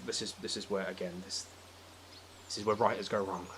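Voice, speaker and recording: Fast-talking, low-voiced man as podcast guest. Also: fairly even intonation, a bit bright. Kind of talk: casual opinionated discussion. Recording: faint background noise.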